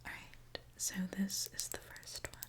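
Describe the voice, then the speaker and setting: A woman whispering a gentle ASMR roleplay as a reassuring repair mechanic, talking softly and directly to the listener. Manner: soft, gentle, reassuring, unhurried. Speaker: ASMR performer playing a robot repair mechanic.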